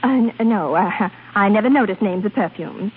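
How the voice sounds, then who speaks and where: A woman's voice: mid-range voice, moderate pace, slight background noise, close to an everyday voice. A woman playing a coy, flirtatious opera prima donna in a 1930s radio drama, hesitant and fluttery. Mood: flustered, coy. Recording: tinny, band-limited 1930s radio broadcast with music.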